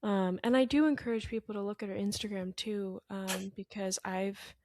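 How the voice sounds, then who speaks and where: Podcast host: woman, gentle and hesitant interviewer, dry close recording. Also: medium pitch, steady tone, moderate pace, dry recording. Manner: gentle, hesitant with fillers when asking questions. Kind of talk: interview conversation.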